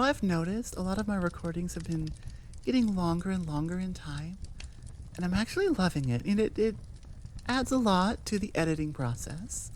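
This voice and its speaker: A woman's voice: on the low side, a little mellow, slight background noise. A woman creator chatting casually in an outro about her story, rambling and loose with many fillers.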